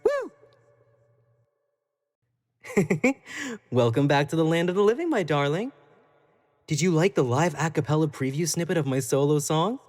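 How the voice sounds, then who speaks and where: An actor playing an obsessive, clingy young male pop idol in an audio roleplay, talking to the listener in a coy, playful, doting way. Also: a little high. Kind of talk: scripted roleplay monologue to the listener.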